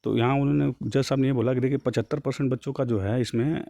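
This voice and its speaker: A man's voice: mid-range voice, fairly even intonation, fast, vocal fry, mellow, no room sound. A man giving an informal, colloquial explainer, mixing in English terms as he reads out and paraphrases a document. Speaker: job news YouTuber.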